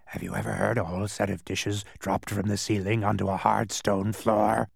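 A man voicing a hoarse, croaking, eccentric male doctor who fires odd questions. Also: level tone, moderate pace, no echo, clean studio sound, heavily acted. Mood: raspy, quizzing. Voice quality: raspy, croaking. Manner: booming, insistent questions.

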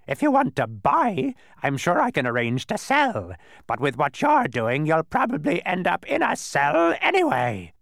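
Storybook villain-pest: a filthy old bird, high shrieking, wildly swooping, haughty and gleefully pedantic. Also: male voice, wildly swooping pitch, measured pace, vocal fry, a bit bright, no echo, studio quality, heavily acted. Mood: smug.

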